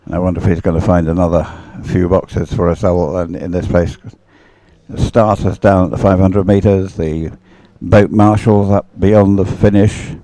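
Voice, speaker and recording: A man's voice: sits low, fairly even intonation, moderate pace, warm tone, no room sound. A man doing live sports commentary and off-the-cuff chat in a hesitant, rambling way, often repeating words.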